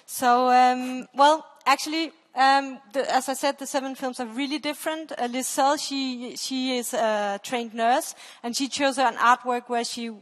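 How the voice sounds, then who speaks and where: A woman's voice: high voice, steady tone, a little slow, hardly any pauses. A woman presenting to an audience, hesitant and searching for words, speaking on a headset mic. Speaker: conference presenter. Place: stage in a large dark auditorium. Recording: echoey hall with long reverb.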